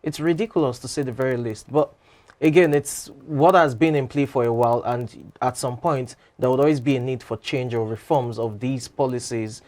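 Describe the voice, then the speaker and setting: A man, a guest on a morning talk show, giving measured commentary full of "you know" and "um". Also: mid-range voice, fairly even intonation, darkish tone.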